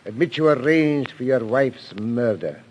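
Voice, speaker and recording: An actor playing a firm, accusing psychiatrist with a measured, authoritative manner in an old-time radio thriller. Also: male voice, mid-range voice, expressive, darkish tone, lightly acted. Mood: stern, accusing. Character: firm, accusing male psychiatrist confronting his patient. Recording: noisy, clicking vintage radio recording.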